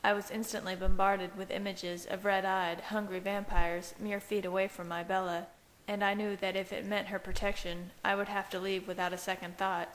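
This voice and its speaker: A woman's voice: medium pitch, level tone, normal speed, few pauses, long reverb tail, rough recording. A woman reading scripted fiction aloud as an amateur audiobook narrator. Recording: hissy home recording.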